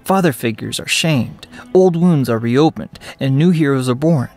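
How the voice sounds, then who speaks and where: A man's voice: medium pitch, moderate pace, darkish tone. A man hosting a video review, presenting his take as a reviewer. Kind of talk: entertainment review, part to camera, part scripted narration. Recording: music behind the voice. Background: background music.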